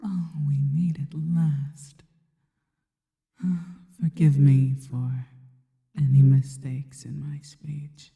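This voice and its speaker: Casting: actress as adoring, sinister female spider creature for ASMR roleplay, low breathy whisper, very dark and soft, drenched in reverb. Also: flat delivery, full character voice. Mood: tender, longing. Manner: slow, deliberate, intimate, formal phrasing. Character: seductive spider-woman monster, adoring, sinister, slow and reverent. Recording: long reverberant echo.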